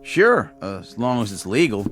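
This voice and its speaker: An actor playing a friendly, easygoing nineteen-year-old elevator operator in a television drama, with a colloquial, eager and deferential way of talking. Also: male voice, fairly low pitch, sing-song, moderate pace, fairly bright tone, faint music behind. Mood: amiable, unconvinced.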